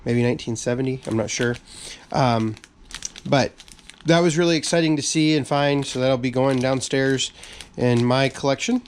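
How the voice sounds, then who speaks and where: Relaxed man, a bit raspy. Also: mid-range voice, normal speed, a little hiss or hum. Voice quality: slightly raspy from a cold. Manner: relaxed, rambling, many fillers and restarts. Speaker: channel host. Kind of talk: casual update vlog.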